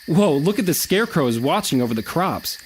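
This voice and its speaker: A man playing an excitable, geeky adventurer in a comedy audio drama, chatty and enthusiastic. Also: on the high side, brisk, slight background noise, lightly acted. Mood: intrigued.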